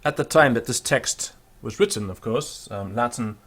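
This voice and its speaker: A man giving an unscripted pronunciation lesson, explaining with fillers and then slowly sounding out words to demonstrate them. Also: mid-range voice, fairly bright tone. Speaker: Latin teacher.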